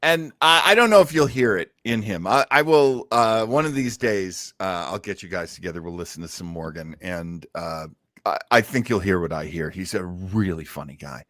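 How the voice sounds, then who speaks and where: A man's voice: sits low, lively intonation, normal speed, a bit bright, no echo, clean studio sound. A man hosting a casual podcast about old-time radio, chatting and commenting with wisecracking banter. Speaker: podcast host.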